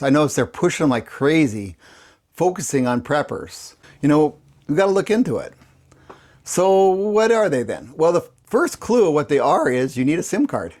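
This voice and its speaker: A man's voice: mid-range voice, a little mellow. A man with a mid-range voice talks casually to his viewers as a video host. He explains things in plain, folksy language. He tends to restate his point for emphasis. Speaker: host of a prepping channel, ham radio operator.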